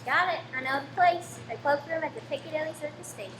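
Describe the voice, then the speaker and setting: A woman's voice: sits high, quick pace, fairly bright tone. A woman playing a carefree, offhand young boy in a radio play. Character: carefree, easygoing young boy running errands. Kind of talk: amateur radio play acting. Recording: echoey, noisy, slightly distant room.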